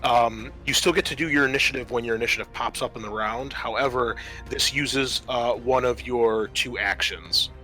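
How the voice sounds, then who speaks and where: A man's voice: medium pitch, normal speed, hardly any pauses, sharp tone, low-quality recording. A man acting as game master, methodically explaining tabletop game rules to his players. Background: music.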